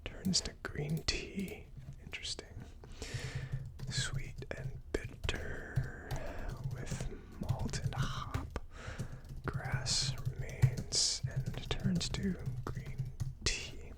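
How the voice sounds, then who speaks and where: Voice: medium pitch, steady tone, very slow. A person whispering in an ASMR style as host of a tasting video, with a tentative, musing delivery. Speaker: host of a whiskey tasting series.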